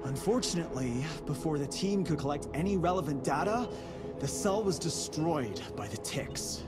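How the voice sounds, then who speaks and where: Man as a high-pitched, flustered young genius engineer. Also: expressive, moderate pace, over music, lightly acted. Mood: subdued, explaining. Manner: flustered, rambling, full of technical jargon. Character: nervous, jargon-loving young male genius engineer.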